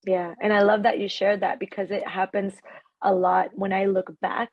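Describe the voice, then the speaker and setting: Woman from ecuador, husky, dry close sound, guest on a chat podcast. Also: mid-range voice, normal speed, no room sound, narrow, tinny audio. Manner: reflective, animated, spiritual tone. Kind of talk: casual conversation.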